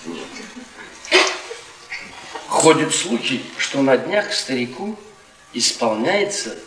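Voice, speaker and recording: An actor playing a bitter, tipsy middle-aged history professor in a radio play, drawling ironic, needling questions. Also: male voice, mid-range voice, sing-song, takes it slowly. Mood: wry, ironic. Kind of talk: Russian radio theatre acting. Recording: echoey stage-like room, distant mic.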